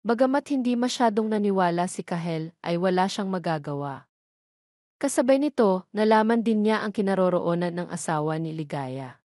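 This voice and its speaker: A woman's voice: fairly low pitch, expressive, normal speed, darkish tone, no echo, clean studio sound. A woman reading a scripted story as its narrator.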